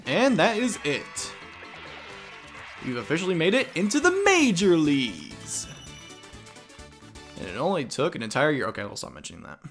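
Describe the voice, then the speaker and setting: A man's voice: fairly high pitch, wildly swooping pitch, normal speed, pauses often, fairly bright tone, soft background music. A man giving casual, rambling commentary while playing a game. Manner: mumbly and rambling. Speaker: let's play commentator.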